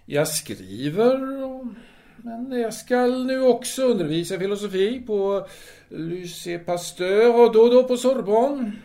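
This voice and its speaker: A man playing a courteous, self-absorbed philosopher and writer in an audio drama, musing and halting as he explains himself. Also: high voice, lively intonation, warm tone. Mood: courteous, inviting.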